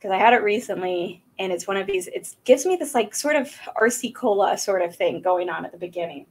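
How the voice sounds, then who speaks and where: A woman's voice: mid-range voice, animated, brisk, darkish tone, breathy edge. Chatty woman hosting a whiskey livestream. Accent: Southern American. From South Carolina. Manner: chatty and rambling, with many fillers. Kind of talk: casual live-stream chat. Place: small home room indoors. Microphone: desk microphone on a boom arm.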